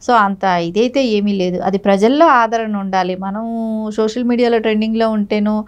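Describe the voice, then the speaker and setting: A woman's voice: medium pitch, fairly quick, clean tone, muffled recording. A middle-aged woman giving critical, argumentative political commentary in a studio interview, often posing rhetorical questions. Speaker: political party spokesperson, interview guest. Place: TV news studio, indoors.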